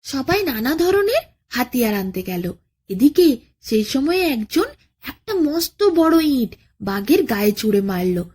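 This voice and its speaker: A woman narrating a children's bedtime story in a dramatic, expressive storytelling manner. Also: sits high, measured pace, slightly rough. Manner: dramatic, expressive, with rhetorical questions.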